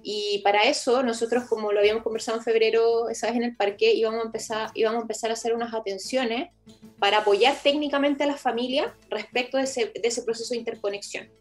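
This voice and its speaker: A woman's voice: fairly high pitch, steady tone, fast talker. A woman with a Chilean accent speaking as a municipal official in an interview, explaining at length and often rephrasing. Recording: music playing behind the voice.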